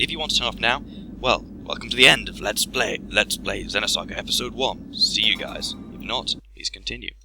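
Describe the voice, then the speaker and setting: A man's voice: on the low side, gravelly, noisy background. A man giving a casual, jokey, sweary explainer voice-over in an informal way. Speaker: let's play host explaining physics.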